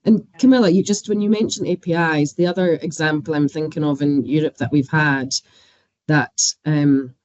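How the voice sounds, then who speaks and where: A woman's voice: deep voice, steady tone, moderate pace, darkish tone, dry recording, dull audio. Scottish woman, dry close podcast sound. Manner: chatty, laughs often, uses fillers. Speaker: banking expert guest. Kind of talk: conversational podcast interview.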